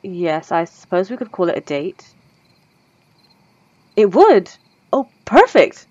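A woman voicing a refined, possessive female vampire centuries old, speaking in a formal, old-fashioned way in a soft, intimate ASMR roleplay. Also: mid-range voice, sing-song, slow pace, no room sound, highs cut off. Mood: courteous, eager.

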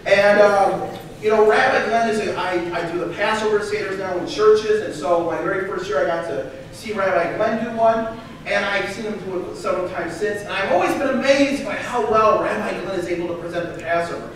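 A man's voice: sits high, a little fast, slight background noise. A young man standing before a seated crowd, telling self-mocking jokes at a roast in a large banquet hall, picked up by a far camera. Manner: self-mocking joke delivery. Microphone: far room camera.

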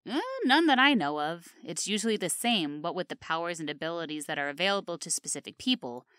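A woman's voice: on the low side, lively intonation, fast talker, a bit bright, clean tone, no room sound, clean studio sound. A woman voicing a surly, impatient young hellhound in a cartoon audio drama, snappish and sarcastic. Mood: matter-of-fact.

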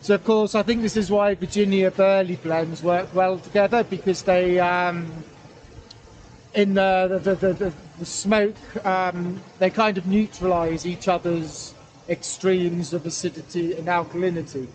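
High-pitched middle-aged man chatting outdoors. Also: steady tone, measured pace, darkish tone. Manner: hesitant, rambling, self-correcting. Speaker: pipe-smoking vlogger. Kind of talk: casual outdoor vlog chat. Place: outdoors in a wooded valley. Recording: outdoor ambient noise, camera at a distance.

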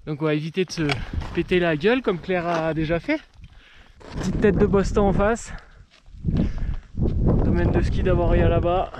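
A man's voice: high voice, fairly even intonation, moderate pace, pauses often. A man in his thirties casually narrating his ski tour in the mountains, giving brief upbeat commentary and swearing freely, filmed on an action camera outdoors. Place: snowy mountain slope outdoors. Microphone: action camera held at arm's length. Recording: loud wind and snow noise outdoors.

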